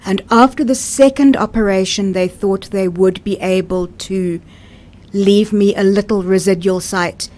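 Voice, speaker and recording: A woman's voice: medium pitch, steady tone, a little slow, darkish tone. A middle-aged woman with a South African accent, speaking as an interview guest and telling her own story conversationally.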